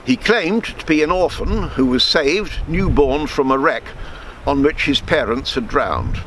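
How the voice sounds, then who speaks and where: A man reading his own written story aloud in a measured storytelling manner, with small stumbles and self-corrections. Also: mid-range voice, fairly bright tone, a little hiss or hum, poor audio.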